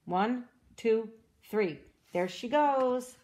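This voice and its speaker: Dora read by a woman, gentle upbeat girl. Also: fairly high pitch, lively intonation, drawn-out words. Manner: gentle, encouraging, asks the listener questions. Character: cheerful young girl explorer, upbeat and eager. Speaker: storybook reader. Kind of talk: reading a children's storybook aloud.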